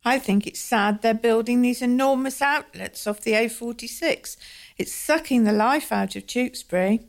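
A woman's voice: a little high, normal speed, lightly acted. A woman acting in a radio play as a thoughtful townswoman observing her home. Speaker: community theatre actor.

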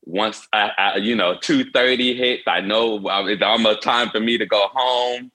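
Earnest man, bright forceful voice. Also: lowish voice, steady tone. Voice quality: wears hearing aids. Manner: earnest, halting with frequent restarts. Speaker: interview guest. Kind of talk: candid personal storytelling.

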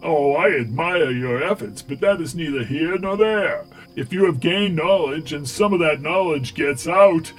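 A man's voice: fairly high pitch, measured pace, faint music behind, natural voice, rough recording. A man voicing a smug, cruel cult tyrant in an audiobook, speaking in a pompous, commanding and mockingly formal way. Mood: smug, menacing. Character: menacing male cult tyrant, smugly cruel, imperious. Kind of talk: theatrical audiobook villain.

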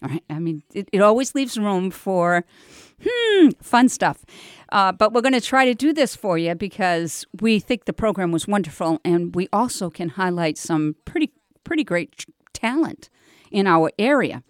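A woman radio host speaks at a middling pitch in a dry, close studio sound. Her delivery is informal and effusive, rambling along as she improvises a live community program. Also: big pitch swings, normal speed, no echo.

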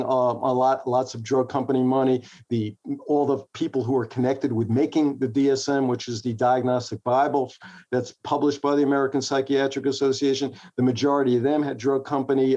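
A man's voice: mid-range voice, a little mellow, dull audio. A man giving opinionated commentary as an interview guest, emphatic and often rephrasing his points. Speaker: interview guest, mental health professional and author.

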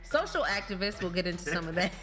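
A woman's voice: lowish voice, expressive, quick pace, fairly bright tone, soft background music. A woman with a Chicago accent hosting a podcast, casual and playful, laughing often. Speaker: podcast host. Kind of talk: casual chat.

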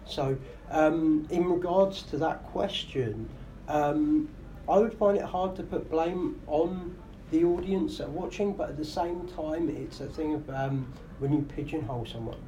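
Man with a mellow voice, chatting casually. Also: fairly high pitch, unhurried, rough recording. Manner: casual and thoughtful with many fillers. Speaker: comedian and radio host. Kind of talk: podcast interview. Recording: live room with faint noise.

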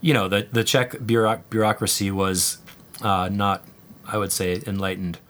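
Male guest, low voice, loose and chatty, lots of "like" and "you know". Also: normal speed, slight background noise. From United States. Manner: relaxed, rambling with fillers. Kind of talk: conversational interview.

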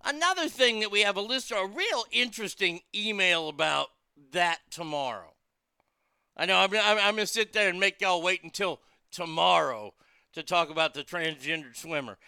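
Man, high-pitched bright talk host, irreverent and crude, dry close mic. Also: expressive, moderate pace, gravelly, clean tone, dry recording. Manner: loose, irreverent, crude, reads listener messages aloud. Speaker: radio show host. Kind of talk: casual talk radio banter.

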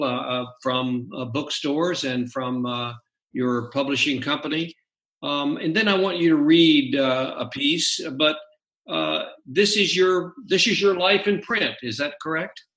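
A man hosting an interview, speaking haltingly with many pauses. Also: on the high side, sharp tone, reverberant, low-quality recording. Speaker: interview host.

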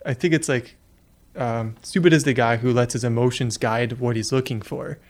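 A man's voice: mid-range voice, steady tone, moderate pace. A man whose first language is Polish, talking casually and personally. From second-generation Polish family. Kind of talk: casual talk.

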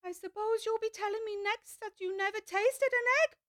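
A woman's voice: extremely high pitch, steady tone, moderate pace, fairly bright tone, no room sound, clean studio sound, voice pushed up. A woman voicing an indignant mother pigeon guarding her eggs, accusing and sulky in a storybook reading. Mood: shrill, indignant.

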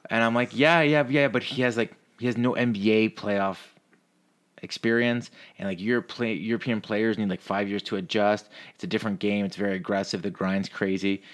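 A man with a fairly low voice talks casually as a sports podcast co-host. He tells stories in loose, sweary language and acts out both sides of conversations. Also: a little fast. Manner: profane, animated storyteller who acts out dialogue. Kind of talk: casual chat, storytelling.

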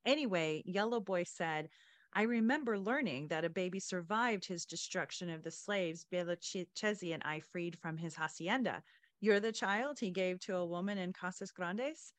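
A woman hosts a book podcast in a fairly low voice. She reads passages expressively and slips into informal asides. The recording is very dry, with no room around the voice. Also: moderate pace, dry recording. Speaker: podcast host. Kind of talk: reading book excerpts and interviewing the author.

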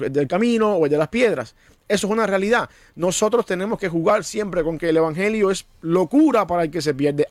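Man, Cuban-born pastor, earnest, explains scripture, higher pitch, dry close recording. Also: no echo. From Cuba. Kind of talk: conversational preaching on a podcast.

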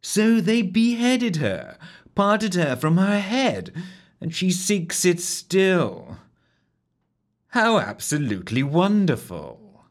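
A man voicing a cheerful, curious gentleman writer with a polished, genteel manner. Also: sits high, big pitch swings, slow pace, pitched above the performer's own voice, exaggerated voice. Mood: eager.

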